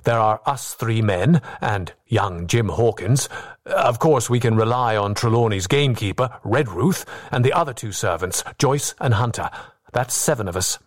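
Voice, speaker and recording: A man voicing a calm, shrewd gentleman doctor, reasoning in a measured and formal way. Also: on the low side. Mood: calm, calculating.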